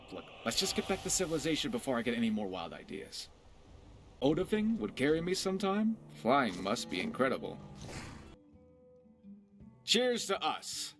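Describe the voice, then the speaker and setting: An actor playing a dashing, playful pirate rogue in a video game, wry, boastful and theatrical. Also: male voice, on the high side, a bit bright. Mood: earnest, excited, then boastful, merry. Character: dashing cocky young male pirate rogue, playful and tender. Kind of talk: voiced game character dialogue.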